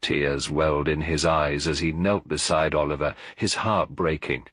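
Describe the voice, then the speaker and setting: A man reading a scripted mystery story as a narrator, in a measured, solemn and dramatic way. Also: a bass voice, steady tone, a bit bright, dry recording, studio quality. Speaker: audiobook narrator.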